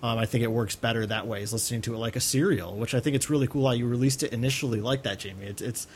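A man's voice: mid-range voice, racing, a little mellow, slight background noise. A man co-hosting a podcast in casual, rambling, hesitant chat. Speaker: podcast co-host.

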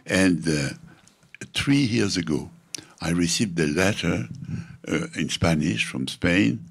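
Deep gravelly man, halting speech. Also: animated. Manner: halting, hesitant, laughs often. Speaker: panel guest.

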